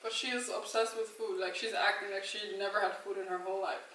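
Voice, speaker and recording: A woman's voice: medium pitch, fairly even intonation, fast, fairly bright tone, a little hiss or hum. A young woman from Switzerland chatting casually in a Q&A, rambling with fillers and giggling often. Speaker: vlogger answering questions. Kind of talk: casual chat. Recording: echoey room, mic at a distance.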